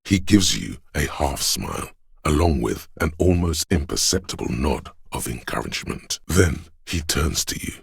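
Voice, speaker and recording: A solemn narrator for a detective video game. The voice is extremely deep, full of gravel and very husky. It sits close and dry, delivering literary narration with measured gravity. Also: male voice, lively intonation, no room sound, heavily acted. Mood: grave, watchful. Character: solemn inner voice of police camaraderie, narrating. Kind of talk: literary second-person scripted narration.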